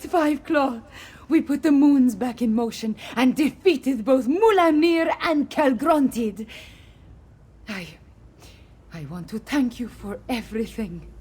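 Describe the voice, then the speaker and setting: A woman voicing a weary, regal cat-folk warrior queen in a video game, speaking formally and earnestly in measured phrases. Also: fairly high pitch, mellow, slightly rough, full character voice. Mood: triumphant. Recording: game music behind the voice.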